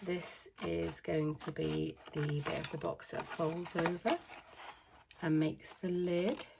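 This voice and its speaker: A British woman with a croaky voice hosting a casual craft tutorial, chatty and full of ums as she explains each step. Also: low-pitched, fairly even intonation, slow pace, noisy background. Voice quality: croaky, with a lingering cough. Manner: chatty, with frequent ums and self-corrections.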